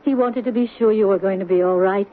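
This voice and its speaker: An actress playing a kind, widowed mother in an old radio drama, gentle in manner with nervous little laughs. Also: mid-range voice, fast, narrow, tinny audio, lightly acted. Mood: gentle, anxious. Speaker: Celia, a mother. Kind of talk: 1970s radio drama acting. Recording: old radio broadcast, phone-thin with hiss.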